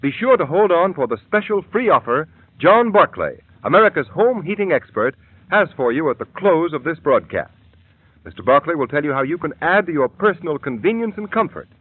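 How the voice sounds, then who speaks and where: A man's voice: a little high, slightly rough, dry recording. A man announcing in emphatic, polished 1930s radio style, reading scripted ads and narration. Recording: tinny, dry vintage radio broadcast.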